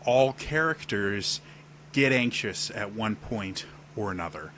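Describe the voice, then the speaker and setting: Man, deep voice, casual tutorial to camera, forceful bright tone, demonstrates as he talks. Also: lively intonation, slow, slight background noise. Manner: explains while demonstrating gestures on camera. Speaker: role-playing tips video host. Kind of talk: casual instructional talk to camera. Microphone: webcam setup at desk.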